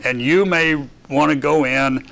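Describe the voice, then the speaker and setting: An older man from Texas with a Southern accent, casually walking a class through software in a darkened lecture room, thinking aloud with self-deprecating asides. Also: fairly high pitch, unhurried, creaky. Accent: Southern US, Texan. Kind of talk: software lab walkthrough. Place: dark lecture room with projector screen.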